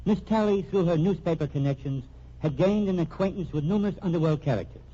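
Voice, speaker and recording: An actor playing an earnest accused man dictating a formal, deliberate statement in a vintage radio mystery, husky-voiced. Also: a little high, dark tone, close to an everyday voice. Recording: muffled old radio recording.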